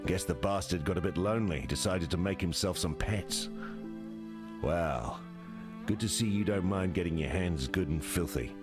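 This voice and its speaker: An actor playing a gruff, blunt, hardened male scout in a video game, speaking in clipped, salty phrases. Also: sits low, moderate pace, over music, close to an everyday voice. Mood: wry approval.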